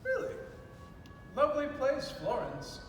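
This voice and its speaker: A man's voice: huge pitch swings, measured pace, a bit bright, exaggerated voice. A man playing a flustered, courteous elderly British general in a stage play, speaking in stammering, old-fashioned formal phrases. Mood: polite, awkward. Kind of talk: student stage play acting. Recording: echoey hall, distant mic, faint rumbling noise.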